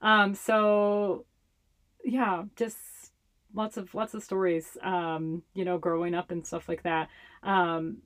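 A woman's voice: fairly low pitch, flat delivery, slow. Young Hawaiian-accented woman, Pidgin first language, chatty interview guest who laughs easily. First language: Hawaiian Pidgin English. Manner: chatty, laughs often while talking. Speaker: public health communicator, guest. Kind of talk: casual interview talk.

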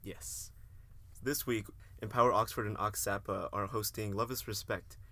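A man's voice: on the low side, steady tone, normal speed, pushed and bright. A young man co-hosting a student podcast in a casual style. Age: young adult. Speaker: co-host. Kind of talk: casual podcast talk, sarcastic sponsor bit.

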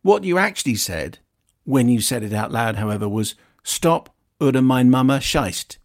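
Creaky-voiced male radio presenter. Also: mid-range voice, sing-song, normal speed. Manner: wry, conversational asides. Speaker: radio film show host. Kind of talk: chatty presenting, reading listener emails aloud.

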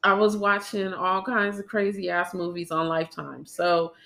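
A woman's voice: fairly low pitch, flat delivery, normal speed, gravelly, fairly bright tone. A young woman co-hosting a podcast in casual, chatty talk, congested from a cold and laughing often. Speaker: podcast co-host.

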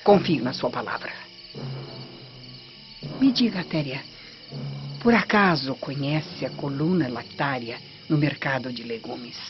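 A woman's voice: extremely low pitch, animated, measured pace, pauses often. An actress playing a vengeful, commanding Roman lady in a radio soap opera, with an imperious, conspiratorial delivery. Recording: dull lo-fi radio drama with music.

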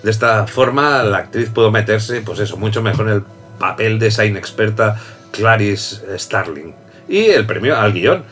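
A man's voice: lowish voice, moderate pace, a bit bright, soft background music. A man hosting a casual film chat, talking loosely, laughing often and restarting sentences. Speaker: host. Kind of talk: casual chat about films.

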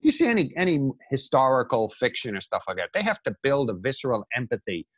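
Man, mid-pitched voice, casual podcast co-host, emphatic rambling, motivational riffing, dry close sound. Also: sing-song, fast talker, husky, no room sound. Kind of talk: animated casual talk, motivational riffing on writing.

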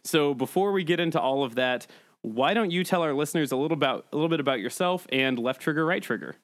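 A man's voice: on the high side, fast talker, dry recording, studio quality. A man hosting a casual, joking podcast panel. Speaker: podcast host.

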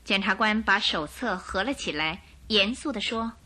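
A woman's voice: medium pitch, expressive, normal speed, bright, forceful tone. A woman narrator reading scripted dramatic story passages for a radio drama.

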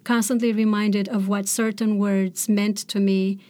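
A woman's voice: medium pitch, level tone, a little mellow. Thoughtful woman poet. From Romania. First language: Romanian. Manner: thoughtful, measured, searching for words. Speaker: poet, interview guest. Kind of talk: poetry reading and reflective interview answers.

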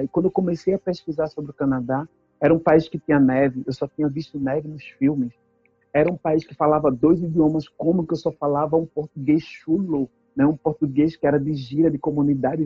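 Husky-voiced woman. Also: low-pitched, no room sound, lo-fi sound. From Brazil. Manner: earnest, emphatic storytelling, tag questions. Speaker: activist speaker. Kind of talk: personal testimony talk.

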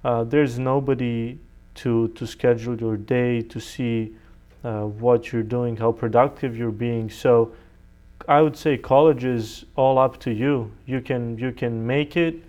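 Young guy from serbia, native serbian speaker, smooth clear midrange voice, chatting as an interview guest. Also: medium pitch, fairly even intonation, a little slow, darkish tone. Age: young adult. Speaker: interview guest, college student. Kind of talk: conversational interview answers.

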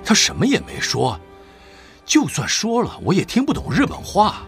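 An actor playing a weary, stoic wartime official who has survived torture, in a Mandarin audio drama. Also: male voice, high voice, wildly swooping pitch, normal speed, soft background music, heavily acted. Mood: recounting, puzzled.

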